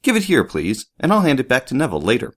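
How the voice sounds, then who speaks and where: A man voicing a formal, upright schoolboy who speaks properly and shouts in a high voice when riled. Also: huge pitch swings, fast talker. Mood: explaining. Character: formal, upright schoolboy loyal to his house.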